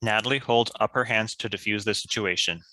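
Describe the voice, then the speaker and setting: A male narrator reading out script action. His voice is fairly low and forceful in tone. It is recorded dry, with no room sound. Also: fairly quick, dry recording, poor audio.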